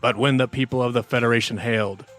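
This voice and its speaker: A man's voice: mid-range voice, steady tone, fast talker, a bit bright, faint music behind, natural voice. A man playing a solemn political ad narrator, reading promotional copy in a parody campaign spot.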